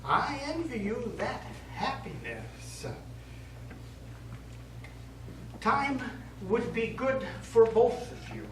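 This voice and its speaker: Stage priest, male actor: gentle, pastoral, measured; animated intonation, heard from far off in an echoey room. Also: very high-pitched, exaggerated voice. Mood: gently persuasive. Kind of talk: stage play acting. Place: theatre studio stage. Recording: echoey stage, distant mic, noisy.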